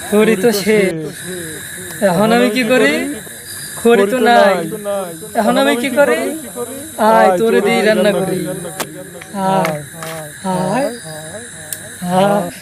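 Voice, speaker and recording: Man voicing an eerie, very high-pitched ghostly cook. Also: slow, pauses often, a loud crowd. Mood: menacing, beckoning. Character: eerie ghostly cook luring a victim at cremation ground. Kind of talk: horror story character voice.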